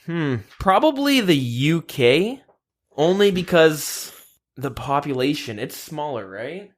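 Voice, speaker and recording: A young man hosting a podcast in a relaxed way, leading the talk and reading out news. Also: a little high, slow pace. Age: young adult. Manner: steady, runs the show and leads topics. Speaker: podcast host. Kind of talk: casual chat, reading news. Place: shared room, two mics.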